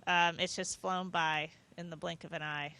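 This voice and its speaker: A woman's voice: lowish voice, little pitch movement, moderate pace, bright, forceful tone. A woman astronaut speaking from aboard a space station, giving thoughtful, earnest, explanatory answers in a conversational interview.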